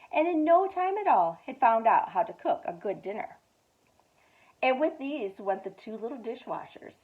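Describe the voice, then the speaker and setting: A woman youth director reading a children's story aloud at storytime, expressive, with whispered asides. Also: mid-range voice. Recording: tinny phone-like sound.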